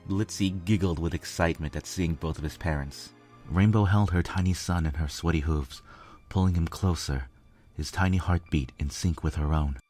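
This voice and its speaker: A man's voice: low voice, moderate pace, a little mellow, soft background music. A man reading a scripted story as a dramatic narrator.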